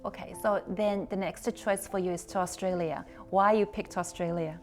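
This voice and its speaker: A woman's voice: on the low side, fairly even intonation, normal speed, soft background music. A woman hosting an interview, asking friendly, leading questions. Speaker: interview host.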